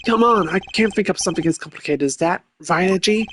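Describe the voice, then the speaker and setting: A man voicing a whiny, excitable, dim young murder suspect from a video game, plaintive and over the top, with music underneath. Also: high-pitched, fast talker, a little hiss or hum, voice pushed up. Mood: pleading. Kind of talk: video game character dub.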